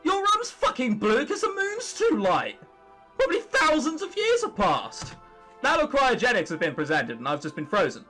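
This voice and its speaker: A man's voice: very high-pitched, huge pitch swings, normal speed, sharp tone, soft background music. A man of nineteen with an English accent, reading a visual novel aloud and breaking in with sarcastic, sweary, theatrical asides. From England. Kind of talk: playful read-aloud with wry asides.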